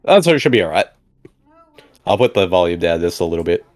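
Animated man hosting a movie podcast. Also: low-pitched, fairly quick. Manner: animated, laughs often, repeats "exactly" and "indeed". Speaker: podcast host. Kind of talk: casual movie commentary chat.

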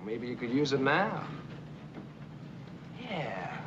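An actor playing a cocky, menacing gunslinger bully in a vintage TV Western, taunting and goading. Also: male voice, mid-range voice, very animated, slow pace. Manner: mocking, goading. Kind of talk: 1950s television Western acting. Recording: noisy lo-fi vintage TV soundtrack.

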